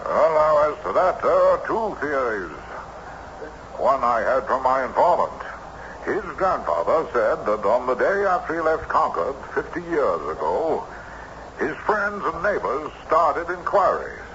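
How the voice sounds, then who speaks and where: An actor playing a formal period gentleman telling an eerie tale theatrically in an old radio drama. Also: mid-range voice, very animated, a little slow. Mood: ominous. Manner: formal, theatrical, suspenseful storytelling. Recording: noisy, muffled old radio recording.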